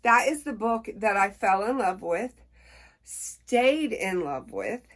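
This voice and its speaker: A middle-aged woman chatting casually to camera about books, unhurried and folksy, with a playful, expressive way of talking. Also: mid-range voice. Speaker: book reviewer on a personal channel.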